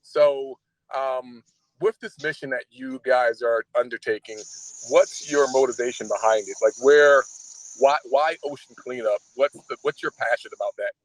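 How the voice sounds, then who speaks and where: A man's voice: medium pitch, moderate pace, dry recording, rough recording. A man in the audience of a group call asking a friendly, hesitant question with ums.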